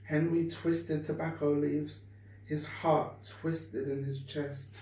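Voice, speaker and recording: A woman reads a children's story aloud at a very unhurried pace, phrasing it deliberately and expressively. She sounds some way from the microphone in a room with obvious echo. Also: low-pitched, flat delivery, warm tone. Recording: echoey room, distant mic, faint noise.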